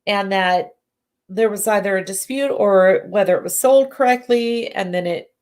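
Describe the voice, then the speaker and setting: A woman doing live psychic readings who sounds congested and talks in halting bursts, often restarting and correcting herself. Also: mid-range voice, a little mellow. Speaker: psychic medium. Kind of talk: live-stream readings, casual chat.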